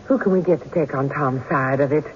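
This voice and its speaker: A woman's voice: deep voice, fairly quick, natural voice. An actress playing an anxious, devoted wife of an accused man, fretful and dramatic in old-time radio style. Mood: worried, urgent. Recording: tinny narrow-band radio recording, faint noise.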